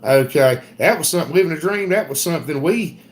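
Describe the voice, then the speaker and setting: A man's voice: on the high side, lively intonation, fast talker. A man with a thick Southern accent chats to his live viewers in a loose, folksy ramble. He picks up their comments and answers them as he goes. A faint hiss sits under his voice. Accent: Southern US, South Carolina. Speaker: homestead livestream host. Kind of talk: casual live-stream chat.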